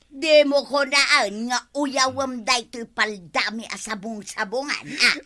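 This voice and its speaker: Cheeky gossipy village woman, highish bright voice, slow drawn-out comic radio acting. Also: steady tone, a little hiss or hum. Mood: complaining. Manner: exaggerated, drawn-out comic delivery.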